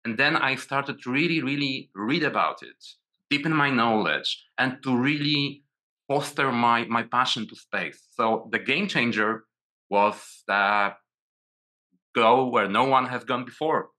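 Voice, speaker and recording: Polish man, 40s, Polish accent, very bright tone, halting with many restarts, video-call guest. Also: mid-range voice. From Poland. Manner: hesitant, many restarts and repeated words. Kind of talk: casual video call interview.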